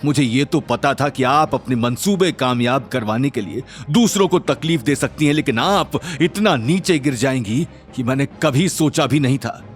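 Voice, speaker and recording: A man's voice: medium pitch, animated, moderate pace, few pauses, faint music behind, lightly acted. A man voicing an aggrieved, dutiful middle-aged father in an audio drama. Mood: hurt anger.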